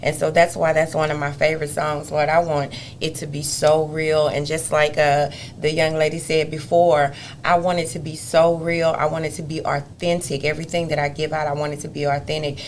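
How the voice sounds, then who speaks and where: Low-voiced woman telling her story. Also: a little fast, slight background noise. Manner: expressive, laughs often, animated storyteller. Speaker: gospel singer and guest. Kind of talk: conversational interview storytelling.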